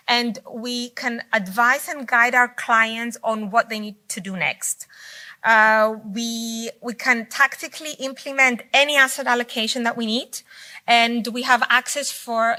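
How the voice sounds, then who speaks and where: Woman with Greek as her first language, keynote speaker, bright, fairly high voice, unscripted and hesitant. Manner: speaks off the cuff with frequent fillers and restarts. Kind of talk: conference presentation. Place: conference hall.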